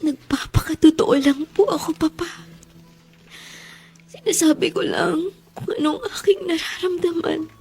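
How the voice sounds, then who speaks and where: A gravely ill young mother in a radio melodrama speaks slowly in a high, creaky voice. She is weary and tearful, halting as she despairs. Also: darkish tone. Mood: weak, despairing. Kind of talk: melodramatic radio drama acting.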